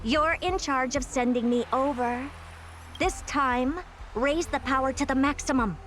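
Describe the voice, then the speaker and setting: An actress voicing a haughty, blunt genius scholar in a video game, imperious and dismissive, often musing aloud. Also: female voice, high voice, measured pace, fairly bright tone, slight background noise. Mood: commanding, brisk. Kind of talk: scripted video game voice acting.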